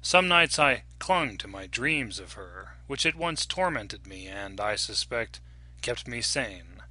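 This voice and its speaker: A man giving a dramatic, scripted narration of fiction in a measured, solemn, deliberate manner. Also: fairly low pitch, expressive, sharp tone. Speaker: audiobook narrator.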